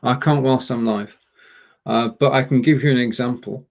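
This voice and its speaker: The audio is slightly dull and lo-fi, from an online call. A man from England with a medium-pitched voice hosts casually, explaining his points in a stop-start way. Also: steady tone, normal speed, gravelly, a little mellow. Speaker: show host and producer. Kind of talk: casual chat. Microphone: live streaming video call.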